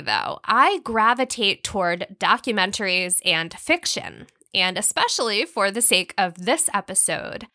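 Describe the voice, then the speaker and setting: A woman's voice: medium pitch, bright, forceful tone, no echo, clean studio sound. An American woman hosting a podcast, reading upbeat scripted recommendations in a friendly, polished presenter manner. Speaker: podcast host.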